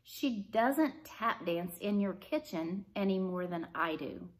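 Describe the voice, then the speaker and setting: Woman reading as a chatty, slow-paced, gently probing storybook woman. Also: medium pitch, animated. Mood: gently confronting. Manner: chatty, leading questions. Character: Alice, chatty adult woman, delighted then gently probing. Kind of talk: storybook character dialogue read aloud.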